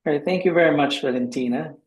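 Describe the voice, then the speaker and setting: A man from the Philippines, a lecturer giving a formal talk on a video call, halting with many ums. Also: a little high. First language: Filipino language. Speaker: lecturer and panel speaker. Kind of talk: formal presentation. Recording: echoey room on a video call.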